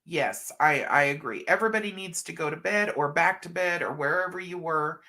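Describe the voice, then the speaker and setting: A woman's voice: deep voice, a little fast, pushed and bright. A middle-aged woman hosting a casual livestream, stuffed up and a bit scratchy from allergies, chatting affectionately with viewers. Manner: affectionate, chatty, calls viewers hun and honey. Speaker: livestream host. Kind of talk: casual livestream chat reading comments.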